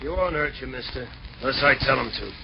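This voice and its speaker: An actor playing a big, mean, suspicious husband in a 1940s radio drama, gruff and curt. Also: male voice, mid-range voice, animated, fast. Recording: dull, noisy old radio recording.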